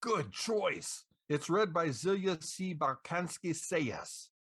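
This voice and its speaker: A man's voice: a little high, animated, takes it slowly, fairly bright tone, husky, dry recording. An American man co-hosting a show, delivering scripted, playful DJ-style banter and introductions. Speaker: podcast co-host.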